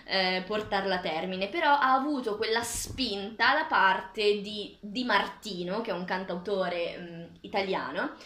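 Young female vlogger, early twenties, bright forward voice, hesitant phrasing, casual review delivery, reverberant small kitchen. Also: medium pitch. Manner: halting, pausing mid-thought. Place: small tiled kitchen, indoors. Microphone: camera across the table. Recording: echoey tiled kitchen.

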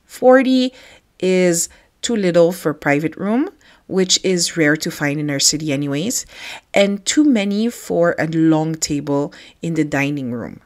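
A woman's voice: sits low, measured pace, a little mellow. A middle-aged woman from the Philippines, hosting a podcast, telling a personal story in a chatty, reflective way with light laughs. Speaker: podcast host.

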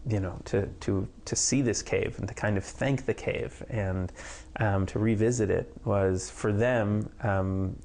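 Hesitant man with a gravelly, flat voice. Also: fairly low pitch, measured pace, a little hiss or hum. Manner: hesitant, many ums and you-knows. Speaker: film producer. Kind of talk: interview answers. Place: home office indoors.

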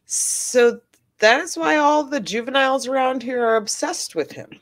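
A woman's voice: high voice, normal speed. An American woman from Wisconsin reading a part and bantering at a live table read over a video call. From Wisconsin, United States. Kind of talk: character reading and casual banter.

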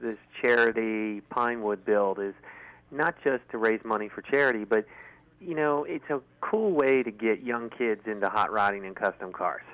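A man's voice: fairly low pitch, normal speed, a bit bright, dull audio. A man who is a guest on a radio show, talking about his work with enthusiasm and many fillers. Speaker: guest, event organizer. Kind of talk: casual radio interview. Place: radio studio.

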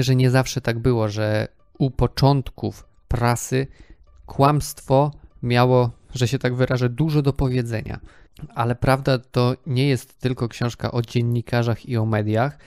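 A man's voice: mid-range voice, a little mellow, smooth, dry recording, studio quality. A man in his thirties hosting a podcast, talking through book reviews in a semi-scripted way. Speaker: podcast host.

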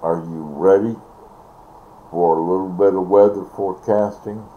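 An older man chatting casually and ramblingly, halting and repeating words, recorded on a device mic in a bedroom. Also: sits low, steady tone. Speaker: vlogger. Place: small bedroom, indoors. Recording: dull, noisy webcam audio.

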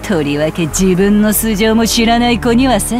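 Lady playing a snarky bitter witch in a game, kinda lowish voice, taunting, loud music behind. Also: expressive, moderate pace, close to an everyday voice. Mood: mocking. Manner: sardonic, rough-spoken, taunting. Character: brash, bitter, mocking rocker witch woman. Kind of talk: Japanese video game voice acting.

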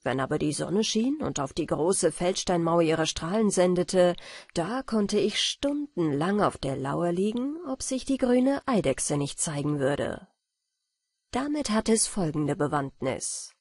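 Husky woman reading fairy tales. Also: fairly low pitch, sing-song, unhurried, darkish tone, dry recording, studio quality. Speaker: audiobook narrator. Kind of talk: bedtime fairy tale narration.

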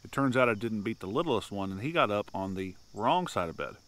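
A man's voice: fairly low pitch, lively intonation, fairly quick, fairly bright tone. A man in his thirties giving a casual, earnest sermon to the camera from a grassy field at dawn, crickets chirping behind him. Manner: folksy and earnest, greets with "howdy" and "y'all". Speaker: homestead video preacher. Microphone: camera at chest height, talking straight to it.

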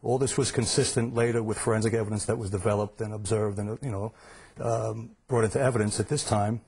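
A man's voice: on the low side, fairly even intonation, fairly quick, darkish tone, breathy edge. A middle-aged man giving investigative commentary as a guest in a live television news studio.

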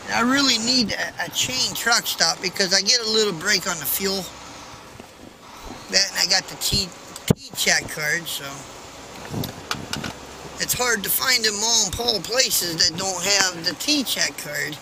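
A man's voice: high-pitched, expressive, a little slow, creaky, over a noisy crowd, poor audio. A man driving a truck, chatting casually to his viewers as he goes. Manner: chatty, talks to viewers and other drivers as he goes. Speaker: truck driver vlogger. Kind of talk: casual narration while driving. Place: inside a moving truck cab. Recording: truck cab with engine and road noise.

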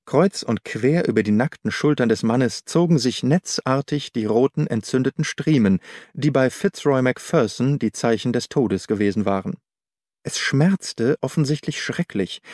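A man's voice: medium pitch, darkish tone, dry recording. A man reading scripted literary narration as an audiobook narrator in a studio. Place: dry studio.

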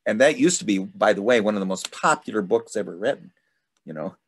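A middle-aged man hosting an informal online study group, talking in a rambling, halting way over a video call. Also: lowish voice, expressive. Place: indoors at home, video call. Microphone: video call microphone.

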